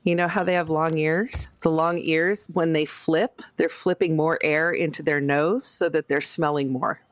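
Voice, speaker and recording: A woman's voice: deep voice, steady tone, measured pace, no echo. A woman playing a tabletop game, talking in a casual and playful style. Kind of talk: casual, playful actual-play chat.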